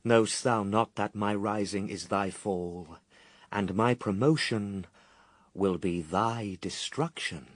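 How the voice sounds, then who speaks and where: Slow, grave Son of God. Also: male voice, lowish voice, dry recording. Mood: patient, firm. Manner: measured, declamatory, morally firm. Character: Son of God, grave, patient, steadfast holy man. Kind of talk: solemn classical radio verse drama.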